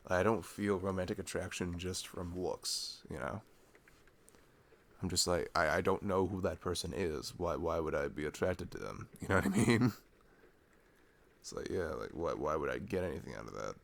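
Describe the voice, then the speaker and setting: A young man playing a sweet, introverted college-age boyfriend in an intimate ASMR roleplay, rambling casually and affectionately in a halting way. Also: deep voice, vocal fry. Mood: earnest, musing. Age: young adult. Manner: rambling, halting, chuckling asides.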